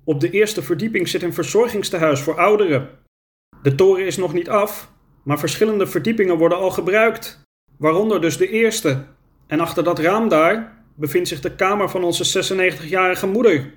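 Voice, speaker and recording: A man's voice: high-pitched, normal speed, pitched above the performer's own voice, close to an everyday voice. A man reading a storybook and voicing an elderly son worried about his mother. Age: older.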